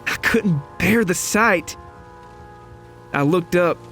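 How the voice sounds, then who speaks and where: A man's voice: high-pitched, animated, takes it slowly, vocal fry, bright, forceful tone, faint music behind. A man narrating a scripted first-person horror story in a dramatic, solemn, suspenseful manner.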